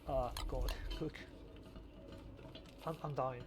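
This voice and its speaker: A man's voice: mid-range voice, fairly even intonation, slow pace. A man chatting casually as a podcast host, rambling and stammering with repeated words. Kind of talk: casual chat over gameplay. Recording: clearly noisy background.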